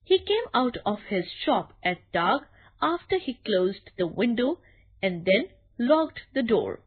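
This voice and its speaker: Female storyteller, children's story narration, highish pitch, very animated intonation, deliberate delivery. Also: husky, narrow, tinny audio.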